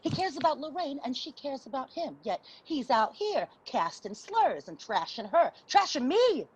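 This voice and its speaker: An actress playing a tough, defensive homeless friend with an American accent, husky and blunt, in a stage play reading with slightly muffled sound. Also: female voice, high voice, big pitch swings, moderate pace, background noise. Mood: aggrieved. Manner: blunt, punchy street talk.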